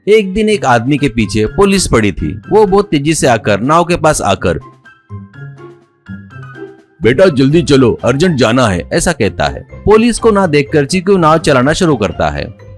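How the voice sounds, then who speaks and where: A man's voice: a little high, wildly swooping pitch, moderate pace, soft background music. A man narrating a scripted story as a storyteller.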